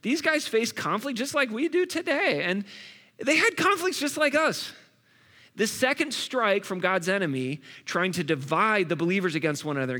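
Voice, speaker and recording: Male preacher, slightly high, bright and forceful, chatty sermon delivery, long hall reverb. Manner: conversational, casual asides. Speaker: pastor.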